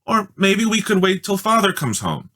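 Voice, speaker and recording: A man voicing a skeptical young boy in a storybook, easily rattled, with a drawn-out way of talking. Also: high-pitched, big pitch swings, bright, forceful tone, pitched above the performer's own voice, exaggerated voice. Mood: worried. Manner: drawn-out, unimpressed.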